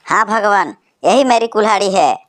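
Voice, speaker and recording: A woman's voice: medium pitch, animated, moderate pace. A woman voicing the bird characters in a Hindi cartoon story, in a plain everyday voice. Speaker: voice actor for cartoon birds.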